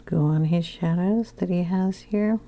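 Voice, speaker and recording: A woman narrating a coloring tutorial, soft-spoken and unhurried, often slipping into a whisper as she loses her voice. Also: lowish voice. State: losing her voice. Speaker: coloring channel host.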